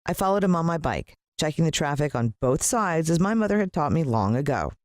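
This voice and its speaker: An American woman narrating a children's story in an expressive, dramatic storytelling manner. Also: low-pitched, fairly quick, no echo, clean studio sound. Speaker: audiobook narrator.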